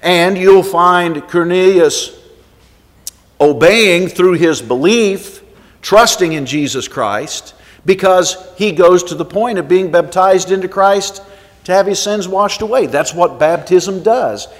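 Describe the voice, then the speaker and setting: A man preaching an expository sermon, reading scripture and teaching it in an emphatic, deliberate way with rhetorical questions. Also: high voice. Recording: long echoing reverb of a hall.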